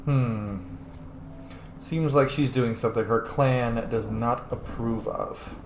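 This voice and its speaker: A man's voice: medium pitch, slow pace, echoey room, a little hiss or hum. A man giving casual, sweary let's play commentary as a gaming host, informal and full of wisecracks. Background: game music.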